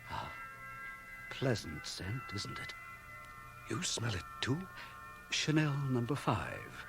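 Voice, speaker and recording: An actor playing a fussy, soft-spoken undertaker, polite and delicately hesitant, in a radio drama. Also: male voice, medium pitch, wildly swooping pitch, takes it slowly, gravelly, over music, full character voice. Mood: unctuous, confiding. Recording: 1980 radio drama, home cassette transfer.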